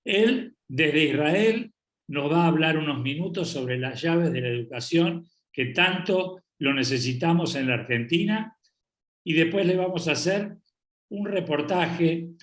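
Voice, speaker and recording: A man's voice: fairly high pitch, a bit bright. A man hosting a live presentation, introducing a guest and the film in a formal way. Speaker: host. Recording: echoey room.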